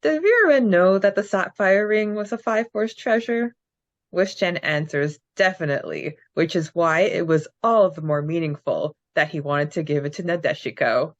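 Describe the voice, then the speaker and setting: A chatty woman co-hosting a podcast, rambling and hunting for words. Her voice sits a little low. The recording is dry and slightly dull, as if from a basic mic. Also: moderate pace, dry recording. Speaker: podcast co-host. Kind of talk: casual chat.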